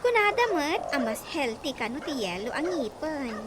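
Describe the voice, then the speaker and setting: A woman's voice: sits high, big pitch swings, normal speed. A woman in an Ilocano radio comedy drama, chatty and quizzical. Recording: noisy background hiss.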